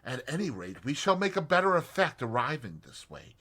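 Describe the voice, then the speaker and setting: Brief: man putting on a bright, high young woman, eager and gushing, big lively swings. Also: slightly rough, heavily acted. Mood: prim. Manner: breathless, gushing and quick to exclaim. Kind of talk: audiobook character voice.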